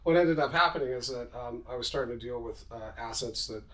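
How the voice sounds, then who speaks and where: Fast-talking middle-aged man, casual and self-assured. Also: mid-range voice, fairly bright tone, poor audio. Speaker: podcast guest, commercial real estate entrepreneur. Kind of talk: casual interview talk.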